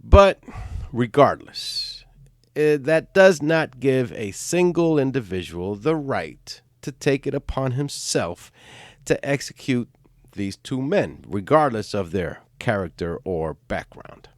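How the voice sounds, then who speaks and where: A man hosting a true crime channel, giving a stern scripted narration while hoarse from a cold. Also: medium pitch, expressive, slow, dry recording, studio quality. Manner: stern, emphatic delivery.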